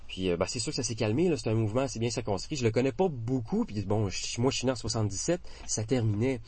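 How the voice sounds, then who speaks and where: A man in his forties with a Québécois French accent, talking in a relaxed, hesitant way full of fillers and restarts. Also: medium pitch, quick pace, slightly rough, no room sound. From Quebec. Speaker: interviewee. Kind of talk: casual conversation.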